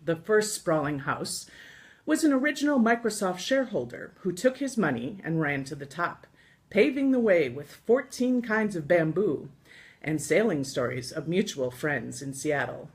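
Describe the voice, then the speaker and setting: An American woman reading her own scripted travel memoir aloud in an expressive storytelling manner, with deliberate pauses. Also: fairly low pitch. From United States. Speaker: author reading from own book.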